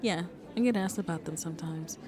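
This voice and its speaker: A woman playing a shy, sweet vampire on a first date in an ASMR roleplay, soft-spoken and hesitant, often dropping to a whisper. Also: fairly low pitch, fairly quick, lightly acted. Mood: shy, warm. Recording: crowd chatter in the background. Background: crowd chatter.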